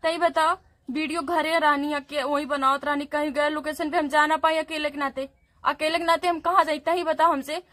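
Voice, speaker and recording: Young bhojpuri-accent woman, youtuber, high bright voice, keeps insisting and complaining. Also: steady tone, normal speed. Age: young adult. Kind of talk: phone argument and talk to viewers.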